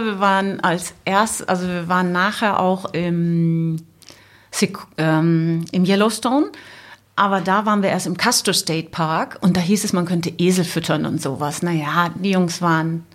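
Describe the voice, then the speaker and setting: Middle-aged woman, halting casual storyteller. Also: lowish voice, steady tone. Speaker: podcast guest, motorcycle traveller.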